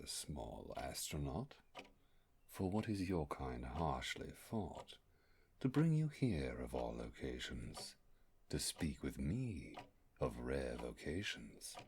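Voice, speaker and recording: A man's voice: rumbling low, darkish tone, a little hiss or hum, heavily acted. A man playing an ancient, bookish creature in a roleplay audio, wordy and pompous but awkwardly hesitant and eager to impress. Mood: ominous, theatrical. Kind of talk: immersive roleplay audio monologue.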